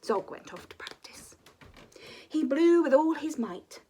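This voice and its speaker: A woman reading a children's story expressively, often dropping to a hushed, breathy delivery. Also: high-pitched, animated, measured pace, slight background noise.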